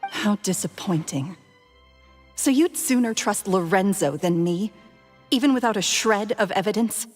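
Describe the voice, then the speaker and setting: A woman's voice: medium pitch, animated, unhurried. An actress playing a sweetly evasive, calculating young woman in a video game, politely mocking. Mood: coolly mocking. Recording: game audio with reverb and music.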